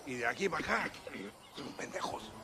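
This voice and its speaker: A man's voice: on the high side, sing-song, fast, creaky, over loud music. An actor playing a crude Mexican bandit henchman in a game cutscene, with a Mexican accent. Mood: crude, boastful. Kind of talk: video game cutscene acting.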